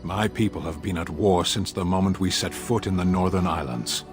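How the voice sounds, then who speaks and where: An actor playing a blunt, dutiful warrior who speaks in a flat, terse, literal way, with game music behind him. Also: male voice, deep voice, normal speed, close to an everyday voice. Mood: stern. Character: stern giant male warrior, blunt and dutiful.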